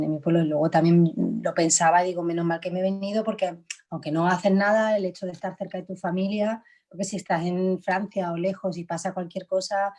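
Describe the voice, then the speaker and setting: A woman's voice: on the low side, warm tone. Chatty woman. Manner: reflective, laughs often, frequent fillers. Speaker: actress, interview guest. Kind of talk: relaxed conversational interview answers.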